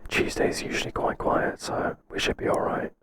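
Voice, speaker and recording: A man playing a mild, kindly workplace boss in a whispered ASMR roleplay, soft-spoken and halting with a breathy voice. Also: heavy background noise, close to an everyday voice. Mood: hesitant, accommodating. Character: mild, hesitant, kindly male workplace boss, flustered by flattery.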